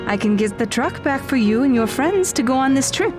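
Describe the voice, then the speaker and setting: A woman's voice: high voice, lively intonation, a little fast, music in the background. A woman playing a kind, upbeat middle-aged mother in an audio drama. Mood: chatty. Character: kind, upbeat widowed mother of a teenage daughter.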